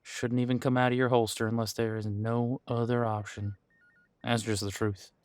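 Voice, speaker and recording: A man playing an easygoing veteran police officer in an audio roleplay, chatting casually and candidly with thoughtful pauses. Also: medium pitch, little pitch movement, darkish tone, clean tone, no room sound, lightly acted. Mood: friendly, briefing. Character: easygoing veteran male supernatural police officer showing newcomer around. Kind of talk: audio roleplay acting, one-sided conversation.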